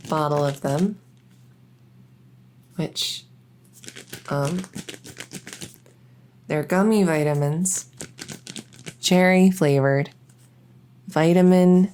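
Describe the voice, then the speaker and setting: A woman's voice: low voice, darkish tone, slight background noise, heavily acted. A woman playing a shy, socially awkward vampire in an ASMR roleplay, speaking hesitantly with anxious stammers.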